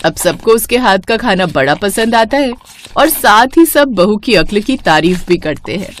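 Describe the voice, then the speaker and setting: A woman's voice: mid-range voice, expressive, normal speed, slight background noise. A woman reading scripted narration for a moral cartoon story.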